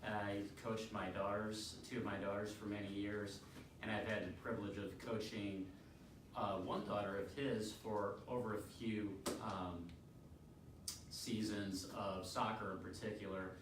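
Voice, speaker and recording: A middle-aged man giving an unscripted testimonial, halting and rambling a little. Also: on the low side, little pitch movement. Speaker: youth soccer coach giving a testimonial. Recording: echoey room, distant mic, clear noise.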